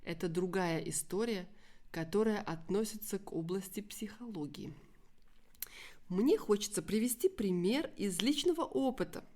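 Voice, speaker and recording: A woman's voice: mid-range voice, expressive, moderate pace, a little mellow. A woman with a native Russian accent presenting an educational podcast for language learners, articulating carefully and explaining words simply.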